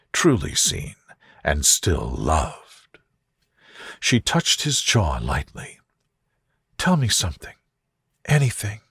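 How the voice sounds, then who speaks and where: A man narrating a sleep story in a soft, gentle, soothing way. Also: on the low side, husky, no echo, clean studio sound. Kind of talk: soothing scripted bedtime narration.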